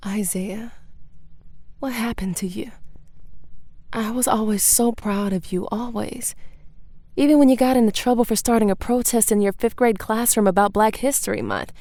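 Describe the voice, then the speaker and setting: An actress playing a small-town police chief, a torn older sister, firm and earnest, in an audio drama. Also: female voice, fairly high pitch, moderate pace, mellow, dry recording, lightly acted. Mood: wistful, reproachful.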